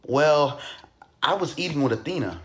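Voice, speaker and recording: A man's voice: on the high side, animated, moderate pace, a bit bright. A man playing an indignant, defensive father under suspicion, speaking emphatically in a puppet-show murder mystery.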